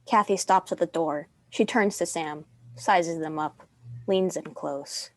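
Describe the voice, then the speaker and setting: A woman's voice: fairly low pitch, measured pace. A young woman reading stage directions as the narrator of a dramatic script. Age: young adult.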